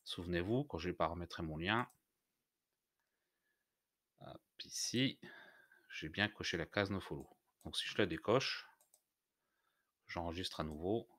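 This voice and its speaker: A man narrating an unscripted software tutorial, explaining step by step in a hesitant way. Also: deep voice, unhurried, long pauses, no room sound, studio quality. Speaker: tutorial host.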